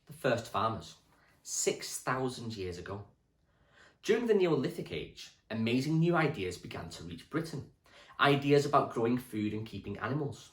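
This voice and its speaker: A man, a primary school teacher, reading a children's history book aloud with clear, expressive storytelling for children. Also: mid-range voice, normal speed. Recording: echoey room.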